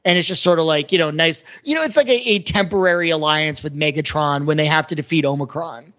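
A man's voice: fairly high pitch, fast, sharp tone. A man co-hosting a casual podcast discussion about film, talking conversationally with lots of filler "like". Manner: conversational, peppered with "like". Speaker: podcast co-host. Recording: slightly muffled, lo-fi audio.

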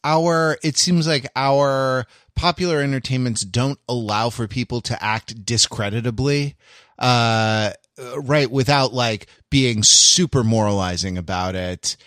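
A man with a mid-pitched voice and a dry, close sound chats as a podcast co-host. His conversation is casual but analytical, with bookish phrasing that comes out in halting pieces. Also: a bit bright, dry recording.

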